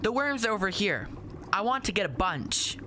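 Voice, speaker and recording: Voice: medium pitch, big pitch swings, normal speed, sharp tone. An actor playing an earnest, curious and stubborn little boy in a radio play, speaking in blunt, literal childlike answers over noisy ambience. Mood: eager. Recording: noisy outdoor ambience, boat sounds behind.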